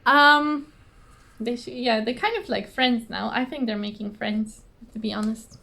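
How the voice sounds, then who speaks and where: Giggly hoarse woman chatting about stitching. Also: on the high side, unhurried, bright, forceful tone. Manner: giggly, rambling, self-deprecating. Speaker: cross-stitch vlogger. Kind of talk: casual chatty craft vlog. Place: indoors at home, trees outside behind her.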